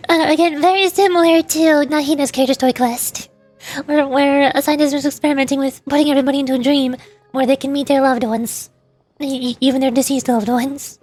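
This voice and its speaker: A woman's voice: sits high, a little mellow. A woman streaming a game, giving casual, rambling commentary as she thinks aloud. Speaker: streamer, VTuber.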